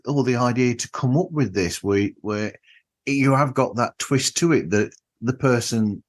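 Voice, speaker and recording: A man hosting a podcast, chatting casually and effusively with halting phrasing. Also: medium pitch. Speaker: podcast host. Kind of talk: casual interview.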